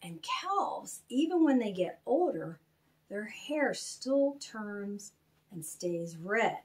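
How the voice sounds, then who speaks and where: A woman reading her own children's story aloud and chatting to young listeners in an animated, expressive manner. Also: medium pitch. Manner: animated, expressive, spells out names slowly.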